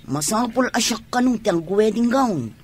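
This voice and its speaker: A woman's voice: fairly high pitch, lively intonation, moderate pace, slightly rough. A woman with an Ilocano accent playing a pleading, insistent young person planning a wedding, in a radio drama.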